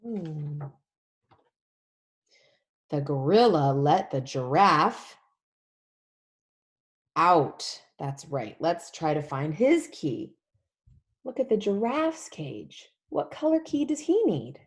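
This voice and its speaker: Woman, children's read-along teacher, slow pace, lively sweeping intonation, emphatic, simple repeated phrases. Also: deep voice. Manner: animated, emphatic, often drops to a whisper. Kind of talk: interactive storybook read-along with questions.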